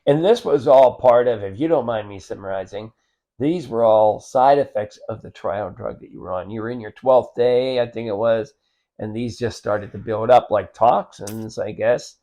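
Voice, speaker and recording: A man's voice: lowish voice, normal speed, mellow. A man hosting a casual conversation, steering the talk, summarizing and using lots of fillers. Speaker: host.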